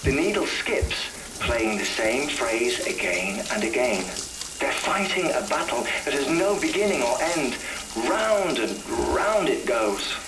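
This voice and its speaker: A man playing an earnest, thoughtful scientist in a video game cutscene, explaining theories haltingly. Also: medium pitch, sing-song, roomy sound, noisy background. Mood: explanatory, musing. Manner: halting, explanatory, with hesitant pauses.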